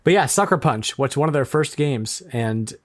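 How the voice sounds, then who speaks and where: A man's voice: mid-range voice, lively intonation, moderate pace, no room sound, studio quality. Enthusiastic male podcast co-host. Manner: enthusiastic, laughs often, repeats words. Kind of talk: casual chat.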